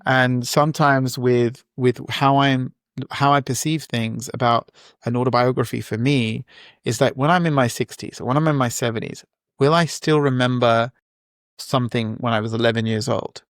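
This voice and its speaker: A man's voice: mid-range voice, steady tone, moderate pace, smooth, no room sound, studio quality. A man in his forties, a guest entrepreneur, talking in a casual, reflective way with many fillers and restarts. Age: 40s. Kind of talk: casual interview talk.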